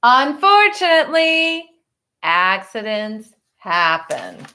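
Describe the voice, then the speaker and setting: A woman reading a picture book aloud to children, expressively and with dramatic pauses, adding explanatory asides in a teacher's manner. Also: fairly high pitch, sing-song, sharp tone.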